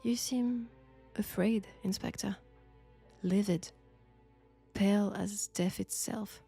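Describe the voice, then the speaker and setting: A woman with a French accent playing a sinister, flirtatious archaeology professor possessed by an ancient god, deliberate and teasing in an intimate audio roleplay. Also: mid-range voice, steady tone, gravelly, mellow, slightly rough, faint music behind, exaggerated voice. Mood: grave, ominous. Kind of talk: slow, intimate roleplay acting.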